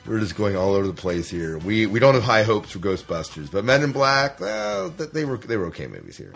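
Man, low voice, podcast co-host, casual news chat, opinionated, faint music behind. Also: huge pitch swings, a little fast, vocal fry, fairly bright tone.

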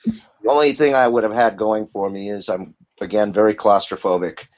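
Chatty lower-voiced man, muffled audio. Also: fairly even intonation, moderate pace. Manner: chatty storytelling, candid, rambling with restarts. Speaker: voice actor guest. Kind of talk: casual interview talk.

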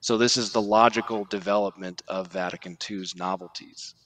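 Low-voiced man giving formal commentary. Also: fairly even intonation, moderate pace, fairly bright tone. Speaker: podcast guest commentator. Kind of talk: religious commentary with quoted documents.

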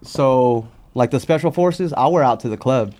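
A man with an American accent speaks at an average pitch. He chats casually as a podcast guest, laid-back and conversational. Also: normal speed, background noise. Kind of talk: casual chat about sneakers.

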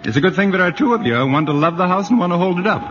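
A wry, skeptical American adviser, sardonic and sensible, with lively swings of a mid-pitched voice. It is vintage radio drama, dull and noisy in sound. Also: male voice, very fast. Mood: dry, skeptical. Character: dry, skeptical, sensible family friend and adviser. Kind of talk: 1940s radio acting.

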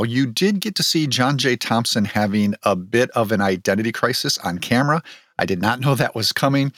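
A man's voice: on the low side, fairly bright tone, no room sound, studio quality. A man hosting a podcast, discussing films and reading scripted narration. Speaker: podcast host.

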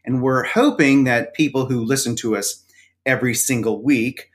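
A man's voice: medium pitch, steady tone, moderate pace. Wry, rambling man as podcast guest. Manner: explains at length, wry, many fillers. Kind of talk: casual interview chat.

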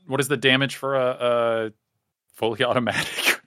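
A man's voice: mid-range voice, little pitch movement, moderate pace, pushed and bright. A man chatting casually as a player in a tabletop role-playing game.

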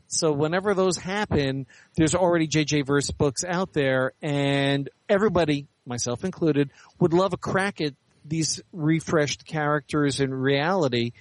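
A man's voice: fairly high pitch, a little slow, no room sound, rough recording. A middle-aged or older man answering interview questions in a candid, articulate, explanatory way. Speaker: interview guest, science fiction author and editor.

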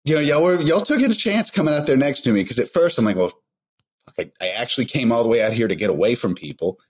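A man chats on a podcast in a relaxed, drawling way, swearing and joking as he asks his guest questions. His voice is husky and slightly breathy, at an ordinary pitch for a man. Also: fast. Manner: laid-back, crude joking, drawling. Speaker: podcast co-host asking questions. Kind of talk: casual podcast chat.

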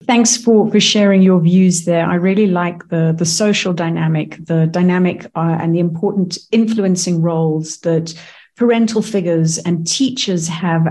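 A woman's voice: on the low side, darkish tone. A woman hosting a radio interview show, encouraging her guest and closing the show with a polished sign-off.

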